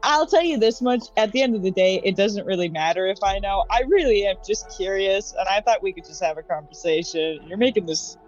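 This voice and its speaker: A woman plays a tabletop game in a loose, conversational, wry way, improvising as she goes. Her voice sits at a middling pitch. The audio is a touch muffled, with music underneath. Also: fairly quick, few pauses. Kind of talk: casual improvised roleplay chat. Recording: slightly dull audio, music behind. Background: background music.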